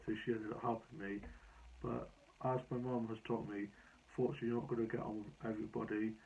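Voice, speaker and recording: A young British man chatting casually in a rambling, anecdotal vlog, his voice a bit hoarse. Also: fairly low pitch, level tone, quick pace, darkish tone, slight background noise. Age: young adult. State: slightly hoarse. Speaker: vlogger. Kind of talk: casual personal vlog chat. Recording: dull lo-fi sound.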